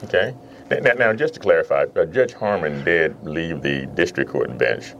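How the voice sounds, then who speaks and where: A man's voice: deep voice, moderate pace, creaky, fairly bright tone, dull audio, slight background noise. A man interviewing a guest, asking careful clarifying questions. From Houston, Texas. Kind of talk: oral history interview.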